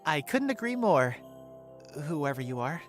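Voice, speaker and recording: A man playing a polite, wistful teenage son in an audio drama, speaking gently and earnestly. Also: a little high, sing-song, a little slow, soft background music. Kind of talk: fan audio drama acting.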